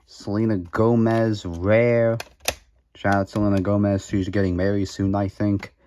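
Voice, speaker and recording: Offhand low-voiced man showing his collection. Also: flat delivery, unhurried, mellow, slight background noise. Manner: offhand and sarcastic, with dry mumbled asides. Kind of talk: casual show-and-tell vlog.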